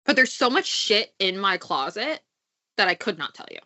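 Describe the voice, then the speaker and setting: A woman's voice: mid-range voice, big pitch swings, normal speed, sharp tone. A congested young woman co-hosting a podcast, chatting casually, teasing and swearing freely. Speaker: podcast co-host. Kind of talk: casual chat. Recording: muffled lo-fi recording.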